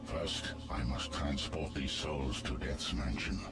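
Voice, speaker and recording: An actor playing an ancient, stern and wrathful god of the dead in a video game, with a very deep gravelly voice and a grave, imperious, formal manner. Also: male voice, slow pace, heavy background noise. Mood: grave, solemn.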